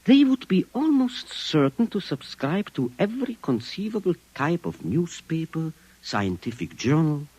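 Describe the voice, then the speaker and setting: Eccentric formal client: husky, lively, precise old-style radio diction. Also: male voice, a little high, unhurried, hardly any pauses, dry recording, heavily acted, poor audio. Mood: scheming. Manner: precise, courtly, stately diction. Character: formal, eccentric middle-aged gentleman client. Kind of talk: 1950s radio drama acting. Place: radio studio.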